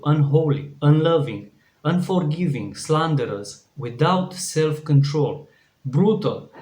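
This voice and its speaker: A man's voice: a little high, darkish tone. A man from Romania with a Romanian accent, preaching an earnest devotional sermon, with frequent restarts. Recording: echoey room.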